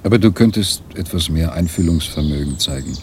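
A troubled male astronaut in a radio play. His voice is low, brooding and terse. Clear noise runs behind him. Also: lively intonation, a little fast, darkish tone. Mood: weary, irritated. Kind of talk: German radio drama acting.

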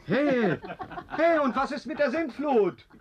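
An actor playing a shameless, mocking flatterer with an unctuous, excitable manner in a radio drama. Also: male voice, very high voice, takes it slowly, muffled recording, heavily acted. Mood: agitated. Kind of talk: German radio drama acting. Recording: noisy radio recording with clicks.

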